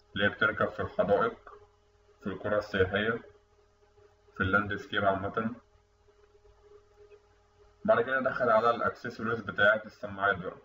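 Fast-talking Egyptian man, echoey lecture audio. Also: lowish voice, sharp tone. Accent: Egyptian Arabic. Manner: explanatory, conversational, quick. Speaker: instructor. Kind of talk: screen-recorded technical lecture. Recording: distant, dull, echoey room mic with faint noise.

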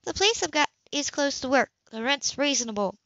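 Woman reading as friendly young female social worker, high bright lilting voice, easily charmed, audiobook dialogue. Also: animated, brisk, dry recording, highs cut off. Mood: friendly, easygoing.

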